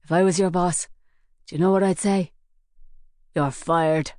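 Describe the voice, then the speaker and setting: A woman's voice: fairly low pitch, steady tone, a little slow, a little mellow, no room sound, clean studio sound, lightly acted. Woman voicing a sneering gunman, menacing and cocky. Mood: mocking. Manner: mocking, sneering. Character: cocky menacing male gang leader.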